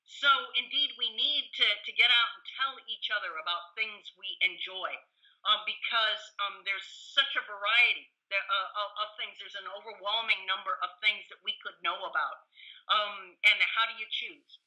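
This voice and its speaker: A mature woman with a strikingly bright, sharp voice speaks as an academic guest in an interview. She is enthusiastic and emphatic, doubling back on words as she builds a thought. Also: mid-range voice, normal speed, low-quality recording. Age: middle-aged or older. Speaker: professor and author, podcast guest. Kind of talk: conversational interview.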